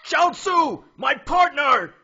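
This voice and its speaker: An overprotective young fighter, voiced by a man in an anime parody. The voice is high for a man and animated, and the recording is slightly muffled. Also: takes it slowly, shouting, faint music behind, full character voice. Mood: indignant. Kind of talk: comedic anime parody dub. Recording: muffled lo-fi dub.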